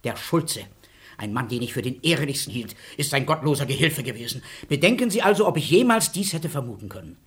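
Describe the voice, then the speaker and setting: An actor playing a grateful, wealthy baron and father, effusive and formal, with a husky voice. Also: mid-range voice, sing-song, fairly quick, fairly bright tone. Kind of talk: radio play acting.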